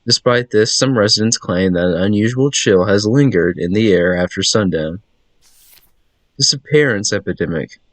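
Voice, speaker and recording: A sober reporter reads scripted village news from a game, voiced by a man. The voice is fairly low. The sound is close and dry. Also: normal speed, no room sound, natural voice.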